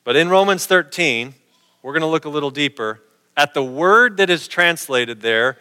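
A man's voice: a little high, expressive, moderate pace, pushed and bright, clean tone, long reverb tail. A middle-aged man preaching a sermon in a conversational way, posing questions to his listeners.

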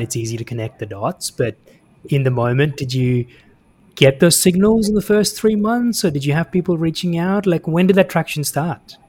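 A man's voice: mid-range voice, darkish tone. A man hosting an interview, asking questions in a conversational way. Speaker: interviewer, host.

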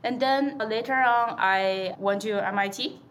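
A woman's voice: fairly high pitch, unhurried, fairly bright tone, slight background noise. A woman from China with a Chinese accent, answering conversationally as a founder being interviewed, in an informal way. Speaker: startup founder, interview guest.

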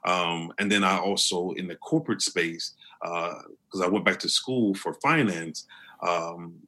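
A man with a deep voice, speaking earnestly as an interview guest, often restarting his sentences. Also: a little slow, sharp tone. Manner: earnest, with many restarts and fillers. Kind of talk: conversational interview.

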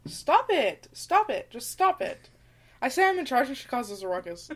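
A woman's voice: high-pitched, big pitch swings, normal speed, fairly bright tone, slightly rough. A woman with a slight lisp, co-hosting a podcast in casual banter with a bossy, teasing manner. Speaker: podcast host. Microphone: close to a shared podcast mic.

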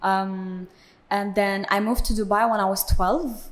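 A woman's voice: medium pitch, unhurried. A woman of twenty from Belgium, a singer chatting casually as a guest in an interview, leaning on "like" as filler. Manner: relaxed, chatty, leans on "like" as filler.

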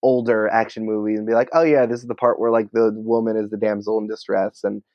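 A man talking casually as an interview guest, in an excitable, rambling, informal way peppered with filler words. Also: on the low side, quick pace, no echo, muffled recording. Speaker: podcast writer and producer, interview guest.

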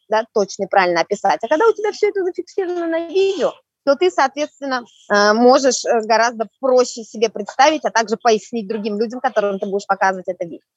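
Podcast guest, female: rapid, emphatic, opinionated explainer, husky tone, muffled lo-fi sound. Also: fairly high pitch, dry recording. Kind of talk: spontaneous podcast discussion.

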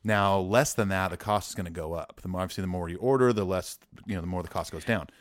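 An easygoing man with a lowish voice co-hosts a podcast, reading listener emails aloud and chatting in an agreeable way. The recording is dry and close. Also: quick pace, no room sound. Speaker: podcast co-host and musician.

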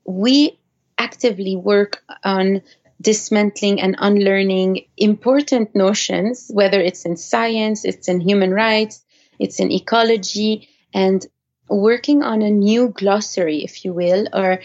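A woman's voice: mid-range voice, steady tone, a little slow, darkish tone, dull audio. A woman whose first language is French, explaining her views conversationally as an interview guest. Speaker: interview guest, activist technologist.

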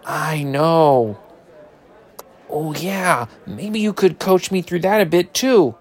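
Animated, higher-pitched eager schoolboy, played by a man. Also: takes it slowly. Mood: eager, sheepish. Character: eager, bashful ninth-grade boy, brash best buddy. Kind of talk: audio drama dialogue.

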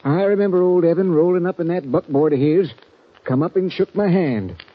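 An actor playing a folksy small-town American ticket agent in a radio western, with a rural accent and a hesitant, repetitive way of talking. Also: male voice, fairly high pitch, a little fast, husky. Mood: folksy, uneasy. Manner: folksy, hesitant, repeating himself. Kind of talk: old-time radio western acting. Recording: dull, muffled vintage radio recording.

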